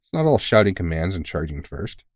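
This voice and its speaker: Veteran vampire hunter played by a man for audio roleplay: very deep, close and dry, earnest, sweary, reassuring. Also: sing-song, brisk, darkish tone, no room sound. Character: big, gruff but caring veteran male vampire hunter, mentoring. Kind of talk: intimate audio roleplay monologue.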